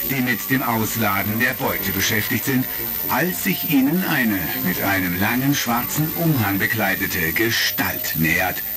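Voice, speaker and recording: A male narrator with a low voice reads in dramatic radio play style. Music plays clearly behind him, with vintage record crackle.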